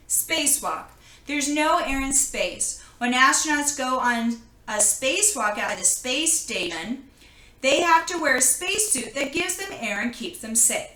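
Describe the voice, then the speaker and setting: A woman reading a children's picture book aloud in an expressive manner, recorded in an echoing room. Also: on the high side. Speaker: storybook reader. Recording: roomy, echoing indoor sound.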